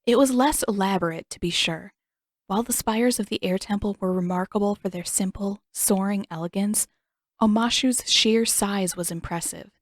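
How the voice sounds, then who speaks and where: A woman reads a fan-written fantasy story aloud in a calm, even storytelling voice. Her pitch is mid-range for a woman. The recording is close and dry, with no room sound. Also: moderate pace, no echo. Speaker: podfic reader and author. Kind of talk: storybook narration.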